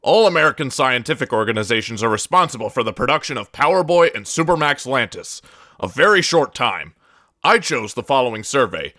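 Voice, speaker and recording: A man's voice: mid-range voice, very animated, brisk, sharp tone, no echo, clean studio sound. A man doing a scripted reading of game monologues.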